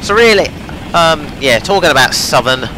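A man's voice: a little high, lively intonation, normal speed. A young man rambling casually through train-simulator gameplay, hesitant with many fillers, his voice strained from talking too much. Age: young adult. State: losing his voice. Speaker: gaming channel host. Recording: noisy home recording.

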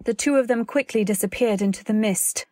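A woman playing an anxious, earnest wife, narrating a radio drama in a literary, deliberate way. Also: on the high side, warm tone, no room sound, clean studio sound, natural voice. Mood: tense, reflective. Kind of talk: dramatic scripted narration, old-time radio.

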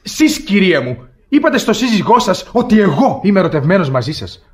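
A courtly young suitor with a high, swooping voice. He puts on offended honour with theatrical flair, as in classic radio comedy. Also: sing-song. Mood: smooth, courtly.

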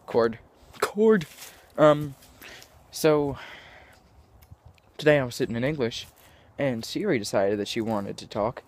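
A man's voice: medium pitch, expressive, takes it slowly, a little mellow. A teenage boy with a nasal, congested voice, rambling jokily in a selfie vlog recorded on a phone at arm's length outdoors. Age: teens. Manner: rambling, self-mocking, jokey. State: congested sinuses. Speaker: vlogger. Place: outdoors in cold woods, then indoors.

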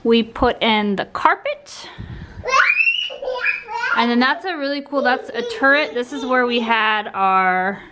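A young adult woman casually walking through a house under renovation on a handheld phone, talking in a relaxed, rambling way with restarts. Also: on the high side, takes it slowly, pauses often, heavy background noise. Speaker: vlogger giving a home tour. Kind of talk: casual walkthrough narration. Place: indoors, empty rooms of a house under renovation. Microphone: handheld phone camera.

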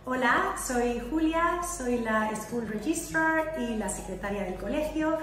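A woman's voice: fairly high pitch, animated, takes it slowly, fairly bright tone, very echoey, faint music behind, poor audio. A woman in her thirties from Spain, works as school registrar and introduces herself cheerfully. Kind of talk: self-introduction to camera. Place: school office.

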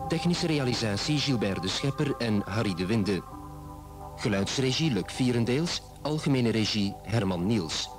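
A man's voice: medium pitch, animated, moderate pace. A man reading scripted closing credits as a radio drama announcer. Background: music.

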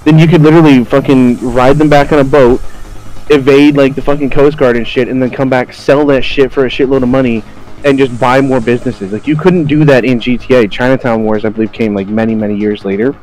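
A man's voice: medium pitch, fairly even intonation, fairly quick, a little mellow, poor audio. A man co-hosting a podcast, chatting casually about video games in colloquial language with plenty of swearing. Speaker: podcast co-host. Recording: music playing behind the voice. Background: background music.

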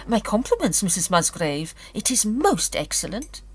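An actress playing a confident, prim young Edwardian clairvoyant in an audio drama, genteel and poised, with an English accent. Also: female voice, mid-range voice, big pitch swings, moderate pace, close to an everyday voice. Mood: bright, genteel.

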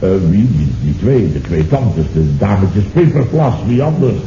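An actor playing a blustering, excitable middle-aged boss who repeats himself with hearty exclamations in a 1970s Dutch radio comedy. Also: male voice, lowish voice, normal speed. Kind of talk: Dutch radio comedy acting, circa 1970. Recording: echoey, muffled, noisy old radio recording.

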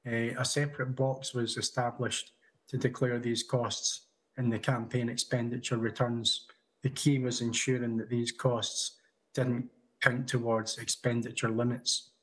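A man in his thirties giving a prepared conference talk over a video call from a room at home, measured, with small restarts. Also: medium pitch, little pitch movement, fairly bright tone, slightly rough, low-quality recording. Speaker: conference speaker. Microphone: video call webcam.

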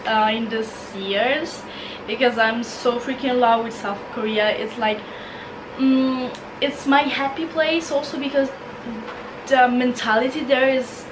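A woman's voice: a little high, background noise. A twenty-year-old woman with an Italian accent, chatting casually to camera in her bedroom, often repeating and restarting words. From Italy. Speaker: vlogger. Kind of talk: casual Q&A chat. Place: bedroom, indoors.

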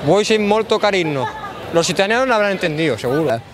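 Lively high-pitched young Spanish man. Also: fast. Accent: Spain. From Spain. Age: 20s. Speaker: street interviewee. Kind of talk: playful casual chat. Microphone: handheld microphone. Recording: outdoor street with loud chatter.

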